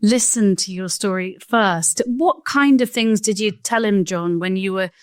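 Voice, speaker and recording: A woman hosting a conversational interview, asking questions in a gentle, empathetic way. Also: medium pitch, a little slow, no echo, clean studio sound. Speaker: podcast host interviewing a guest.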